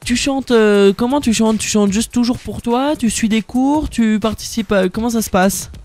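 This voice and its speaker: A man's voice: very high-pitched, moderate pace, a little mellow. A young man hosting a radio interview, talking casually with many fillers and restarts. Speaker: radio host.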